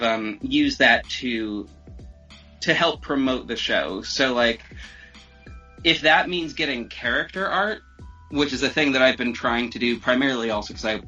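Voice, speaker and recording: Bright-voiced man chatting casually, lo-fi sound. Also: mid-range voice. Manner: rambling, self-deprecating, informal. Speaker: podcast guest, audio drama creator. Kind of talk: casual chat.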